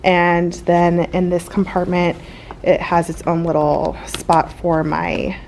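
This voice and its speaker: Chatty young teacher woman, low voice. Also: little pitch movement, slow, gravelly, darkish tone, a little hiss or hum, low-quality recording. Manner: chatty, filler-heavy, upbeat. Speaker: elementary school teacher. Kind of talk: casual vlog chat. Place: classroom. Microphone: handheld camera.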